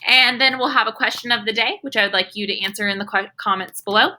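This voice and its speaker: A woman speaking as an elementary teacher, giving young pupils instructions in an encouraging, deliberate and emphatic way. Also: on the high side, sharp tone.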